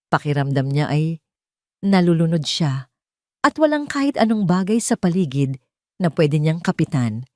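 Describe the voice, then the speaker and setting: A Filipino woman narrates a dramatic audio love story in a lowish voice. The recording is dry and close, with no room around it. She reads scripted lines as a storyteller. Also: a little mellow, dry recording.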